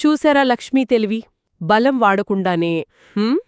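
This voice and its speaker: A woman co-narrating a folktale for children in a conversational, expressive way, speaking directly to young listeners. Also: fairly high pitch, normal speed, clean tone, no echo, clean studio sound. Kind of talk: conversational two-person storytelling for children.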